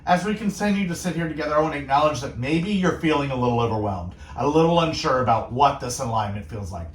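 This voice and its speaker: A male radio host speaking in a calm, soothing, meditative way, gently musing and asking reflective questions. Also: mid-range voice, quick pace, reverberant, low-quality recording. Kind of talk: gentle devotional radio talk.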